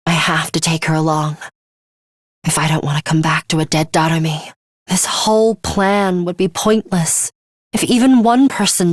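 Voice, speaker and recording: A woman's voice: on the low side, no echo, clean studio sound. An actress playing a stern, composed young woman fighter in an English video game, blunt and guarded, with clipped, formal, matter-of-fact delivery.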